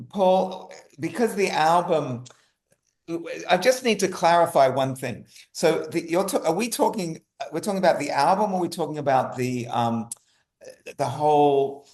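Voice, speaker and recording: A man's voice: fairly high pitch, darkish tone. Echoey man in an interview, rephrasing emphatically. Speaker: guest, writer. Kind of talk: interview conversation. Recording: roomy, echoey sound.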